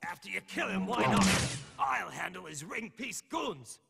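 A man's voice: high voice, big pitch swings, measured pace, vocal fry, breathy edge, no echo, exaggerated voice. A man playing a cool, dismissive swordsman in a video game, dry and haughty in manner. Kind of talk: video game cutscene acting. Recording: loud background noise.

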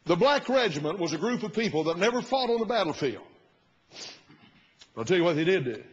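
An older man in his sixties with a husky voice, preaching an emphatic, oratorical sermon as a pastor. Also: big pitch swings, brisk. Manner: emphatic, oratorical, rising to loud bursts and dropping low. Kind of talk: fiery sermon. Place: large church sanctuary, at the pulpit platform. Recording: muffled recording in a reverberant church hall.

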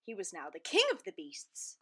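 A woman narrating a children's storybook aloud in an expressive, deliberate, dramatic way. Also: on the low side.

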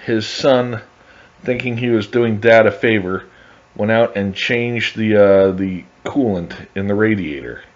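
A middle-aged man with a low voice talks casually over his photos, often pausing on fillers and restarting his sentences. The recording is dull and lo-fi, lacking crisp top end. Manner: halting, many uh fillers and restarts. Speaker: hobby car restorer narrating his project.

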